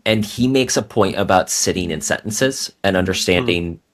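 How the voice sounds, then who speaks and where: A man's voice: deep voice, moderate pace. A man speaking as a guest novelist on a podcast, explaining writing in an articulate, bookish, conversational way. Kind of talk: conversational interview talk about writing.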